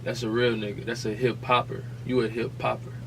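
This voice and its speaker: American dude on a podcast, medium pitch, teasing his friend w slang and cussing, faint hum. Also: flat delivery, brisk. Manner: teasing, slangy, profane, repeats his jabs. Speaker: podcast co-host. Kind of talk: casual back-and-forth banter about rap music. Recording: noisy podcast audio with hum.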